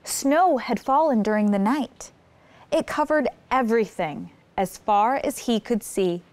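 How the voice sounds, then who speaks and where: A woman's voice: a little high, lively intonation, a little slow, a little mellow. A woman hosting story time, reading a picture book aloud expressively and putting simple questions to young listeners. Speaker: children's story time host.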